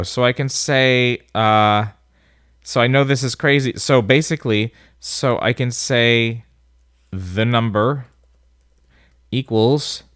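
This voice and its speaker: A man's voice: medium pitch, fairly bright tone, smooth, no room sound, clean studio sound. A man with a nasal voice casually talking through a coding tutorial, thinking aloud as he types commands. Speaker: instructor. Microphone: voice-over on a screen recording.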